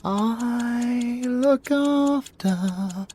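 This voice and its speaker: A man's voice: very high-pitched, warm tone, soft background music, heavily acted. A British man playing a possessive male siren, violent yet tenderly obsessive, crooning in an ASMR audio roleplay. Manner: slow, crooning, swinging from menacing to tender.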